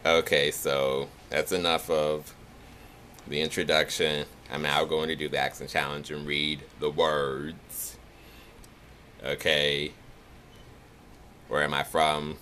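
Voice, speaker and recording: A young man from the Chicago area with a Chicago accent, talking in a nasal, monotone way for a casual accent challenge video. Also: very deep voice, takes it slowly, pauses often, slight background noise. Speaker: vlogger.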